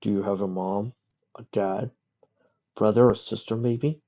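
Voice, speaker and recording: A man voicing a kindly male pony traveler, tender, soft-spoken and playful, for a story. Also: lowish voice, steady tone, a little slow, dull audio, a little hiss or hum, lightly acted.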